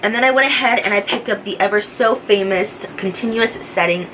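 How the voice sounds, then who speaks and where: A woman's voice: medium pitch, brisk, background noise. A young woman with a congested voice from a cold, chatting casually and enthusiastically as a beauty vlogger at home, close to the camera. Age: young adult. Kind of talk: casual product review. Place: home beauty room, indoors. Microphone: camera at close range.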